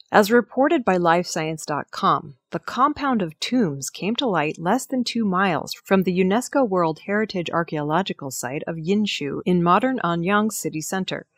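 Female news reader, slightly low register, scripted narration, dry sound with no room. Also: no echo.

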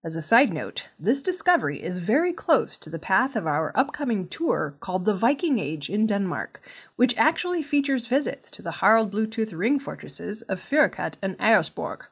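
Woman reading scripted news, medium pitch. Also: very animated, normal speed, husky. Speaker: news reader.

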